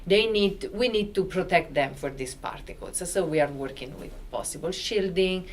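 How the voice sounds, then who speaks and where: A middle-aged woman from Italy with an Italian accent, speaking on a university panel in animated, non-native English and sometimes searching for words. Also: fairly low pitch, normal speed, a little hiss or hum. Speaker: physicist on a panel. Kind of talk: panel introduction. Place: university lecture room with audience. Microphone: handheld mic.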